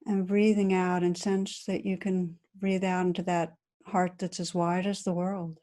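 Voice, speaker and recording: A woman meditation teacher guiding a practice in a gentle, soothing, unhurried manner with long pauses between phrases. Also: lowish voice, flat delivery. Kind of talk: guided meditation.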